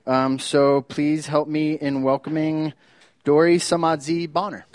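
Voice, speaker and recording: A man's voice: a little high, flat delivery, measured pace, a little mellow, no room sound, clean studio sound. A man hosting a storytelling event, casually introducing the next speaker with a few fillers. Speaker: event host.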